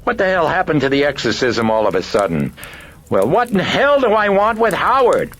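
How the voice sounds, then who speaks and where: A man's voice: fairly high pitch, wildly swooping pitch, normal speed, a bit bright, husky, pitched above the performer's own voice, exaggerated voice. A man voicing a distraught middle-aged mother who shrieks and sobs near hysteria. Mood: hysterical. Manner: shrieking, sobbing, pleading.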